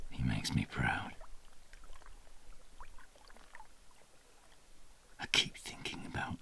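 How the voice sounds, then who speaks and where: An actor playing a guilt-ridden, grieving fisherman disciple in hiding, in a halting dramatic monologue that moves between whispers and shouts. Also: mid-range voice, slight background noise, heavily acted. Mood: wistful, brooding.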